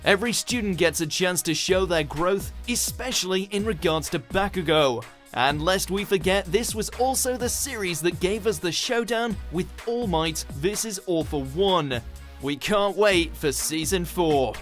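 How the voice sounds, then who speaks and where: This is a man with a notably high voice for a male speaker. He delivers scripted narration in the manner of a countdown video narrator. Faint music plays behind his words. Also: animated, unhurried, fairly bright tone.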